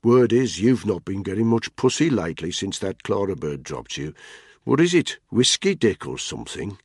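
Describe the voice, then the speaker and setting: A brash, needling middle-aged soldier with a raised, loud voice, taunting everyone around him, as a man puts him on. The tone is husky and gravelly with a dry sound. The voice belongs in an audiobook. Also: on the low side, sing-song, normal speed, no room sound, voice pushed up, full character voice. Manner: mocking, provoking.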